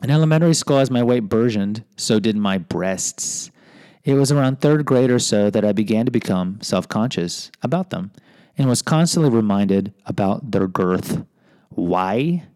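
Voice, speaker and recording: A man's voice: on the low side, animated, moderate pace, warm tone, clean tone, no echo. A middle-aged American man hosting a podcast, reading a personal memoir with rambling, self-deprecating asides. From United States. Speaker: podcast host and teacher.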